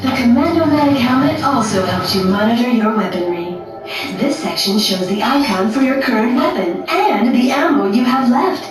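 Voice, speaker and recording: A woman's voice: fairly high pitch, close to an everyday voice. A woman voicing a polite corporate presenter, reading scripted instructions in a courteous sales manner. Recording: echoey, distant game audio with music.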